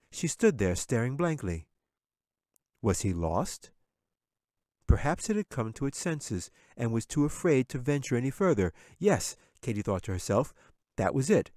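A man's voice: medium pitch, darkish tone, dry recording, clean studio sound. A man narrating a scripted fantasy audiobook as its author and storyteller.

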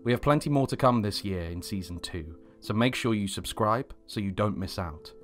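A man's voice: fairly low pitch, animated, normal speed, soft background music. A man reading a scripted podcast outro and credits as the announcer.

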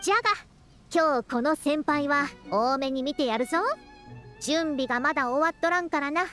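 A woman's voice: high voice, normal speed, a bit bright, faint music behind. A woman voicing a proud, youthful-sounding scholar in a Japanese video game, talking in a self-important yet caring way with old-fashioned elder's phrasing. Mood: haughty, indulgent. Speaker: scholar and teacher. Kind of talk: Japanese anime-style video game voice acting.

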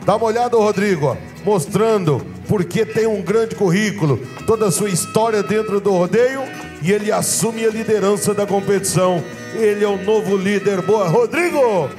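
A man giving lively, praising rodeo commentary, shouting out at the highlights. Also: normal speed, music in the background. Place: outdoor rodeo arena.

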